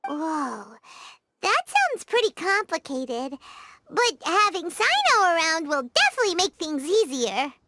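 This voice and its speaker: A woman voicing a tiny excitable female fairy companion in a video game, with a bubbly, childlike way of exclaiming. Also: extremely high pitch, unhurried, background noise, full character voice. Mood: excited. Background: game music.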